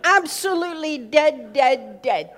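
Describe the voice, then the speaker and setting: A woman with a somewhat high voice gives an informal talk. She tells anecdotes as a teacher would, leaning hard on key words, repeating them, and slipping into quoted dialogue to make each point. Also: animated, measured pace, fairly bright tone, a faint crowd, a little hiss or hum. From America and Switzerland. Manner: emphatic, repeats words for effect, acts out dialogue. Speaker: speaker giving a talk on faith and business.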